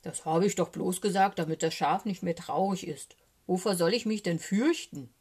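A woman voicing a gruff, good-natured, gullible male bear in a children's storybook, talking in a plain, naive, grumbly way. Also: on the low side, normal speed, darkish tone. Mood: grumbly, puzzled.

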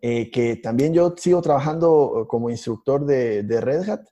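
A man co-hosting a podcast, telling stories in a halting way with many restarts and fillers. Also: mid-range voice, warm tone, dull audio. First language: Spanish. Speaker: podcast co-host, IT instructor. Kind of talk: casual podcast conversation.

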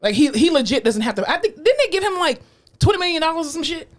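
A woman co-hosting a casual podcast chat, blunt and animated, swearing freely and joking as she rants. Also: extremely high pitch, quick pace. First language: English. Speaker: podcast co-host.

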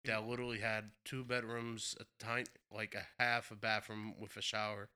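A man's voice: on the low side, level tone, moderate pace, bright, forceful tone, clear, resonant tone. A young man from Portugal, a guest in a casual chat, telling his family's story with frequent laughs. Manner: storytelling, laughs often, many restarts.

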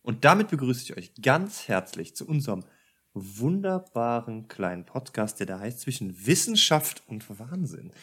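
A man's voice: medium pitch, very animated, moderate pace, fairly bright tone, husky. Jokey male podcast host, chatty. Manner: jokey, chatty, addresses listeners. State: voice tired from a day of talking. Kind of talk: casual podcast chat. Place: home apartment.

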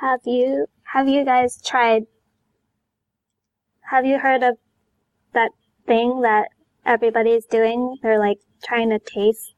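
A woman's voice: a little high, level tone, slow pace, pauses often, highs cut off. A woman chatting casually as a guest on a podcast. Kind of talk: casual chat.

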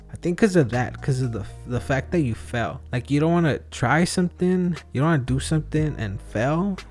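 A man's voice: mid-range voice, darkish tone. Rambling thirties man musing aloud. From outside the US. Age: 30s. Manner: rambling, self-questioning, with frequent fillers and restarts. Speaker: podcast host. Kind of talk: solo reflective podcast monologue.

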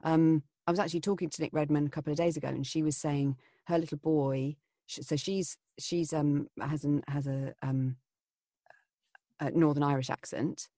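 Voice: medium pitch, fairly even intonation, moderate pace, warm tone, no echo, clean studio sound. A voiceover artist hosting a podcast, chatting casually and thoughtfully, with many fillers and restarts. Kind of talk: casual chat.

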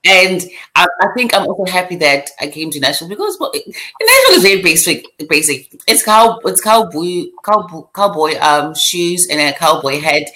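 A young woman from South Africa with a South African accent, a podcast guest chatting casually, lively, laughing easily and restarting sentences in quick bursts. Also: on the low side, rough recording. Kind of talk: casual chat.

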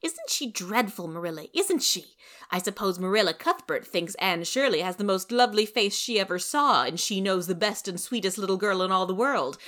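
A woman voicing a sour, sharp-tongued woman of sixty in a storybook, scolding and sarcastic. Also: medium pitch, lively intonation, moderate pace, close to an everyday voice. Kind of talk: storybook character reading.

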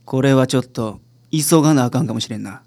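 A woman playing a gruff, laid-back male private detective with a Kansai accent in a radio drama. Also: rumbling low, fairly quick, mellow. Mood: brisk, commanding.

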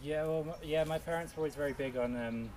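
A man speaking thoughtfully in casual chat, halting and repeating words as he gathers his thoughts. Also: on the high side, darkish tone, a little hiss or hum. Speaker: podcast guest. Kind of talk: casual chat while gardening.

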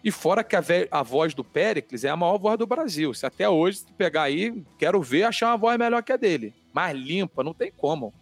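A man with a Carioca accent, a podcast guest chatting in an animated, casual way and swearing often. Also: sits high, a little fast, hardly any pauses. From Rio de Janeiro, Brazil. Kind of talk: casual chat.

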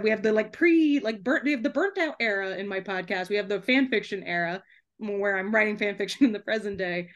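A young woman talking casually as a podcast guest, chatty and giggly, peppering her sentences with "like". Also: mid-range voice. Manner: chatty, frequent "like", giggles between phrases. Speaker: podcast guest, debut author. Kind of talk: casual conversational interview.

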